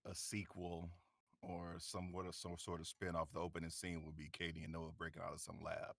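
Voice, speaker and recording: Man, bright forceful voice, highly animated, jokey, casual after-show chat, close dry sound. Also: brisk, gravelly, dry recording. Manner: jokey, acts out imagined dialogue. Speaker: voice actor on a panel.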